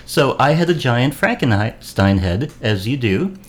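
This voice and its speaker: A man's voice: mid-range voice, very animated, a little slow. A man, an actor guest-hosting a podcast, chatting casually and telling wry self-deprecating stories. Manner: tells wry anecdotes with self-deprecating humor. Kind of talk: casual chat.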